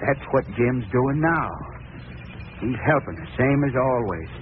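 Guy acting as a gentle worried american farmer dad, folksy, stumbling over words, tinny old radio play. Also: medium pitch, expressive, measured pace, a little hiss or hum, natural voice. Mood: pained, consoling. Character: kindly, troubled farmer father of a young boy.

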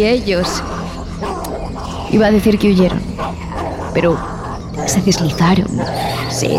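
A woman playing a traumatized expedition survivor in a horror audio drama, telling her story in a haunted, halting, dramatic way. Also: mid-range voice, natural voice. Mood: haunted, horrified. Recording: music bed behind the voice.

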